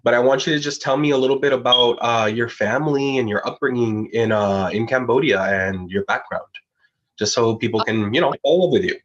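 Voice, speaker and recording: A man's voice: mid-range voice, fairly quick, fairly bright tone, dull audio. A man, a pageant analyst who hosts a live online interview, talking in an enthusiastic, supportive way and often repeating words. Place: United States.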